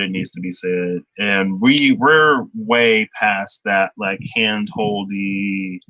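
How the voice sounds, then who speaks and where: A man's voice: on the low side, bright, forceful tone, no room sound, rough recording. Chatty young man reading tarot. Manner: rambling, chatty, laughs often. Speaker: tarot reader. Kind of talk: casual livestream tarot reading.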